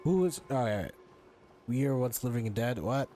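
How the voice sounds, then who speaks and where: Sweary guy streaming a game, mumbling his thoughts out loud, pitch moves around a lot, faint music. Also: expressive, moderate pace. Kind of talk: casual live gameplay reaction.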